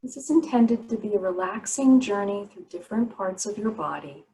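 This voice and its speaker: A woman teaching guided yoga nidra meditation, giving soothing, unhurried instructions with pauses between phrases. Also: medium pitch, lively intonation, dark tone, slight background noise, low-quality recording.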